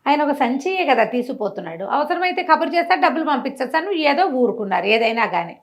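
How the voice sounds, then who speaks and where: A woman telling a moral story conversationally, with animated delivery, rhetorical questions and everyday examples. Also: high-pitched, quick pace. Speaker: storyteller, interview guest.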